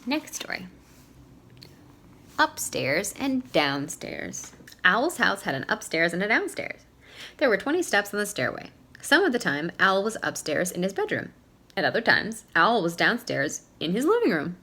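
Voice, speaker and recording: A woman reading a storybook aloud to young children, expressive and playful, in a teacherly way. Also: mid-range voice, normal speed. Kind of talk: read-aloud storybook narration with questions to young listeners.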